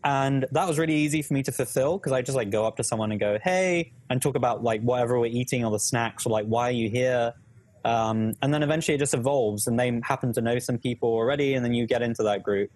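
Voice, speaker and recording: A young man who is a guest on a live Q&A, speaking in a measured, thoughtful way. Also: mid-range voice, a little mellow, no room sound. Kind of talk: casual live-stream chat.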